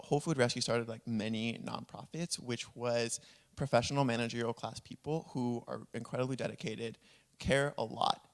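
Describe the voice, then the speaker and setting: A man presents at a conference in a conversational, earnest manner. His voice sits at a middling pitch with a good deal of gravelly fry. He comes across as humble, like a panelist sharing what he has learned. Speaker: food rescue nonprofit speaker, panelist.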